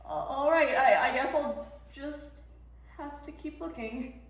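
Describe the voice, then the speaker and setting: Woman performing a desperate mother, high, echoey. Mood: flustered, dejected. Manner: emphatic, halting delivery with heavy pauses. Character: frantic mother of a middle schooler, desperate and grieving. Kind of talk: dramatic interpretation monologue. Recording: echoey room, voice ringing off walls.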